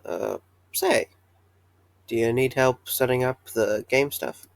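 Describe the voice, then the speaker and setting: A man's voice: medium pitch, slow pace, gravelly, no echo. An actor playing a tired, earnest young man who wants to reopen a pizzeria, talking with hesitant fillers. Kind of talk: fan audio drama acting.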